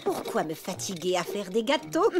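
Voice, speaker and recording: A woman voicing a smug, scheming cartoon animal mother, with a haughty, mocking, drawn-out delivery. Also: medium pitch, big pitch swings, close to an everyday voice. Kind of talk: French cartoon dubbing.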